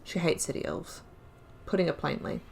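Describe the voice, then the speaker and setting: A woman's voice: low-pitched, very animated, moderate pace, gravelly, darkish tone, slight background noise. A young woman giving casual let's-play commentary, chatting and thinking aloud about her choices in the story. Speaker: gaming video creator.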